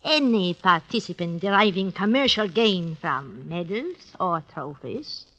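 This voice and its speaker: An actress playing a cold, mocking blackmailing duchess in a 1950s radio drama, speaking in measured, formal phrases. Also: female voice, lowish voice, animated, a bit bright. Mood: mocking, smug. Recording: muffled 1950s radio recording.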